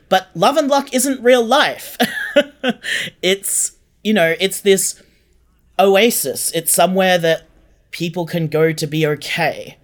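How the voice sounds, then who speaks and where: A podcast creator with a medium-pitched voice talks casually as an interview guest. The delivery is chatty and conversational, with thoughts worked out aloud and corrected along the way.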